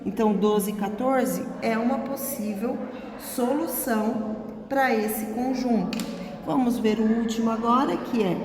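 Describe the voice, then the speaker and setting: A woman's voice: fairly high pitch, darkish tone. A female math teacher with a Brazilian Portuguese accent, working methodically through each calculation aloud and checking in with her students as she goes. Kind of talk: recorded math lesson. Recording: echoey room, long reverb, faint noise.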